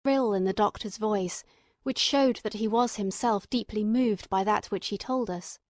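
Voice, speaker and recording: A woman's voice: mid-range voice, a little slow, a little mellow, no room sound, studio quality. A woman reading scripted narration for a classic mystery story.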